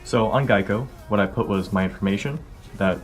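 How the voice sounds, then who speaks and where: Man, early 20s, American accent, slightly deep voice, conversational vlog style, background music. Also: brisk. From California, United States. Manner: informal, conversational. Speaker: car vlogger. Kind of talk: casual explanatory vlog talk to viewers. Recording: music behind the voice.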